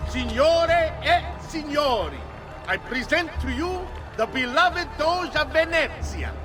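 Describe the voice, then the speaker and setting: An actor playing a showy, sneering Renaissance Venetian, speaking theatrically in a video game. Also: male voice, very high voice, animated, slow, heavily acted. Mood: ceremonious. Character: sneering, showy male villain of Renaissance Venice. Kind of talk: video game cutscene acting. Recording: music and outdoor crowd behind.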